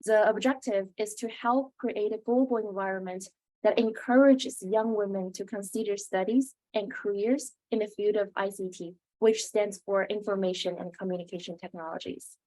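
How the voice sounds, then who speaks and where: A woman's voice: mid-range voice, steady tone, moderate pace, warm tone, rough recording. A young woman speaking as the host of an interview, with a prepared, scripted way of introducing and asking questions. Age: young adult.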